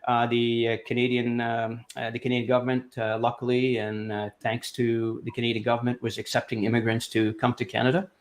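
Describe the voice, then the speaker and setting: A man's voice: mid-range voice, completely flat delivery, a little fast, few pauses. A man in his fifties, originally from Lebanon, talks through his life story as an interview guest. He rambles a bit, stacking fillers and restarting his sentences, and he sounds like he is on a video call.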